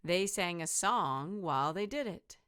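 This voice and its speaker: Lower-voiced woman reading a children's story. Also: clean tone. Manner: deliberate, rhythmic, expressive. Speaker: teacher reading aloud.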